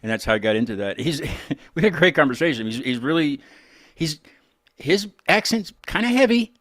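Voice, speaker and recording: An American man hosting an interview show, chatting casually in a rambling, halting way. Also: a little high, very animated, a bit bright, no echo, studio quality. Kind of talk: casual chat.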